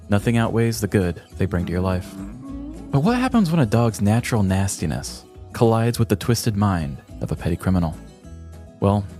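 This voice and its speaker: A man's voice: on the low side, mellow. A man podcast host reading a scripted, wry comedic true-crime story. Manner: wry, crude descriptive humor. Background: background music.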